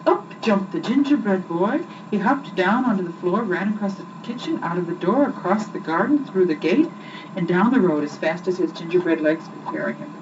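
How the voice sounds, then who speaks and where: Young woman reading storybooks, very animated, muffled tape. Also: on the low side, moderate pace. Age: young adult. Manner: rhythmic, expressive storytelling with repetition. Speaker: mother reading stories aloud to children. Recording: muffled, noisy 1980s home recording, distant mic.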